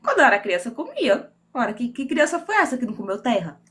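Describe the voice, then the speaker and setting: A woman's voice: on the high side, big pitch swings, fast talker, a bit bright. A woman from Northeast Brazil with a Northeastern Brazilian accent, chatting playfully as a guest author on a live video call from home. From Northeast Brazil, Mossoró. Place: home room with air conditioning.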